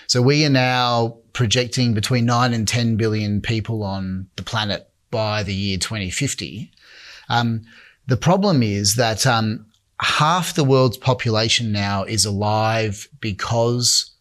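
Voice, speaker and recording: A man with an Australian accent, a company founder being interviewed, who explains things in a measured way with many ums. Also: mid-range voice, fairly bright tone. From Northern Territory, Australia.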